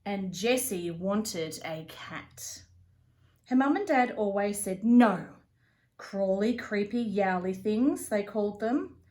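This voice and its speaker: A woman reading a children's picture book aloud as a storyteller, with an expressive, deliberate delivery. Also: medium pitch. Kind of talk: read-aloud for young children.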